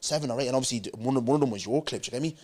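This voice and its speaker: A man's voice: medium pitch, racing, darkish tone. A man, hosting a podcast in a rambling casual chat. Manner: rambling, repeats "do you get me". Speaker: podcast host.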